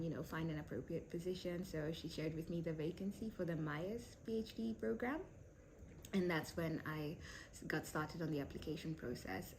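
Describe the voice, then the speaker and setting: A woman's voice: deep voice, background noise. A young woman from South Sudan, raised in Kenya, answering interview questions thoughtfully with many fillers and restarts. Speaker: PhD candidate.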